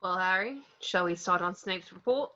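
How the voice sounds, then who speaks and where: Bright-edged, casual teen school pal played by a woman. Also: fairly low pitch, normal speed, highs cut off. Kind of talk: amateur script table read.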